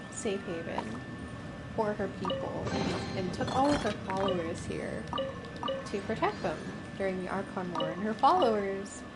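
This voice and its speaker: A woman streaming a game live, giving casual, halting commentary as she thinks aloud. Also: mid-range voice, poor audio. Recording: loud background noise on livestream.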